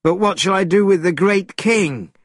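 A man's voice: high voice, normal speed, husky, no echo, studio quality, voice pushed up. A man voicing a bold, bossy, suspicious monkey hero in a storybook, speaking in an emphatic, commanding way. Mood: puzzled.

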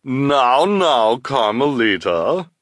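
A man's voice: medium pitch, very animated, extremely slow, fairly bright tone, no room sound, studio quality, voice pushed up, full character voice. A man voicing a fussy, upbeat, oblivious scout leader in a storybook, placating and prissy.

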